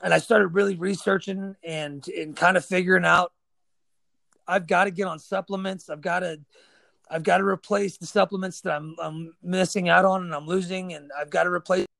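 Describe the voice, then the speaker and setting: A man's voice: sits high, fairly even intonation, normal speed, creaky, a bit bright, dry recording. A man hosting a podcast, talking in an emphatic, encouraging casual way, often saying "man" and "dude". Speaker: podcast host. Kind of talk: casual conversation.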